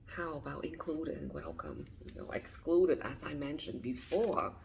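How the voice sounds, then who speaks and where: A woman in her fifties from Vietnam, speaking, answering a list of questions softly and hesitantly, often dropping to a whisper. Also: sits low, big pitch swings, measured pace, creaky, a little hiss or hum. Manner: soft, hesitant, with frequent restarts and whispered phrases. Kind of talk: reading and answering interview questions.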